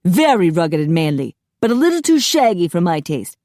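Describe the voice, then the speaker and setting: A woman's voice: lowish voice, sing-song, normal speed, warm tone, dry recording, clean studio sound. A woman voicing a flamboyant, fussy young male hair stylist in an audiobook, camp, dramatic and effusive. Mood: appraising.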